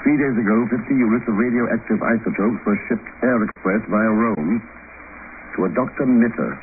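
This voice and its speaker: Deep-voiced, wry radio secret agent played by a man. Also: normal speed, natural voice. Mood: brisk, wry. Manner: dry, probing, unflappable. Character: cool, wry male secret agent on a case. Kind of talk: 1950s radio drama acting. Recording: noisy, tinny old radio broadcast.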